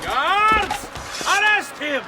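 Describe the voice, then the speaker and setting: An actor playing a cold, pompous, treacherous city magistrate in a video game, declaiming formally over music. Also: male voice, very high voice, big pitch swings, drawn-out words, heavily acted. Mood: commanding, alarmed. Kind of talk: dramatic video game acting.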